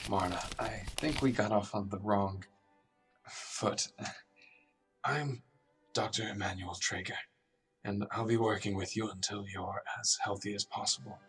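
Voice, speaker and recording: Man acting as earnest male doctor, low pitch, hesitant and apologetic, radio drama, music underneath. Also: fairly bright tone, natural voice. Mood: gentle, awkward. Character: earnest, awkward male doctor gently questioning a withdrawn patient. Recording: distant mic, music underneath.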